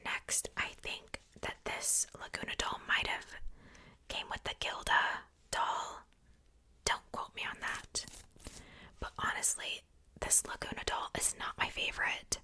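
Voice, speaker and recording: Young female ASMR creator, fully whispered and breathy, sharp bright sibilance, casual and unhurried.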